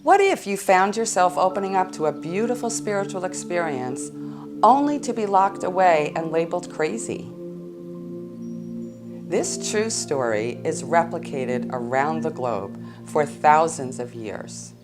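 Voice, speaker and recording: A woman's voice: fairly low pitch, lively intonation, unhurried, music in the background. A woman reading scripted narration in an earnest, questioning way.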